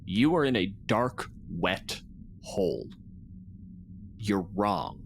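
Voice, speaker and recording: A man's voice: lowish voice, animated, slow pace, creaky, slight background noise. A man in casual tabletop roleplay, talking in a blunt, wry and emphatic way. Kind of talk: casual, improvised tabletop game play.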